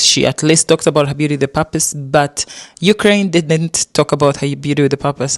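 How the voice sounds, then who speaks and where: Ugandan guy chatting about pageant answers, kinda high voice, dry close sound. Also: no room sound. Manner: chatty, repeats words, fillers. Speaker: pageant reviewer. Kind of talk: casual commentary.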